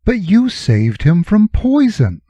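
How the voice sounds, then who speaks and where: A man voicing a fretful pirate-merchant, pitched up. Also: huge pitch swings, slow, warm tone, no echo, heavily acted. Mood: coaxing. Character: male Phoenician pirate-merchant, haggling and fidgety.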